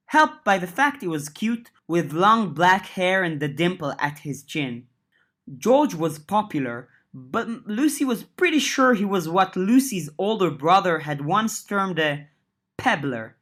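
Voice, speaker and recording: A woman with a notably low voice reads a chapter of a serial story aloud. She narrates steadily and raises her pitch when characters speak. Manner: reads dialogue with lifted pitch, narration lower and softer. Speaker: audiobook narrator. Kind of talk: audiobook reading of a web serial.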